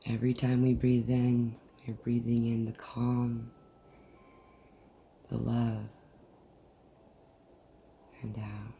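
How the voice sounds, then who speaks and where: A person leading a guided meditation in a soothing, deliberate manner with long pauses, recorded over a video call. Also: medium pitch, completely flat delivery, creaky, dark tone. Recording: noisy, muffled group video-call audio.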